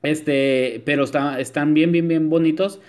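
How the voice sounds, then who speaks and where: Man, fairly high voice, chatty tutorial voice-over, lots of fillers. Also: fairly even intonation, unhurried, darkish tone. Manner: chatty, many filler "este", addresses viewers as "chicas". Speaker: nail technician.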